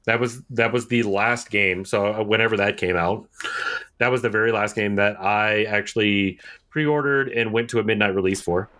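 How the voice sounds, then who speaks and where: A man's voice: on the low side, steady tone, moderate pace, sharp tone. A man co-hosting a podcast in casual chat, opinionated and emphatic, often repeating points for stress. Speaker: podcast co-host.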